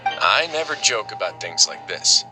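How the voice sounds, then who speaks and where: A male voice actor playing a composed, dryly witty duke in a video game, speaking in a measured, polite, understated way. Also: fairly low pitch, big pitch swings. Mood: wry, generous. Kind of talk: scripted game dialogue. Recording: faint game music behind.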